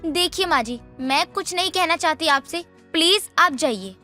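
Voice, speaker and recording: A woman's voice: very high-pitched, expressive, normal speed, pushed and bright, soft background music, exaggerated voice. A woman voicing a haughty young wife in a Hindi story cartoon, snappish, bossy and contemptuous. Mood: curt, guarded. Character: haughty, scornful young wife berating her husband. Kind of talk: Hindi animated story dialogue.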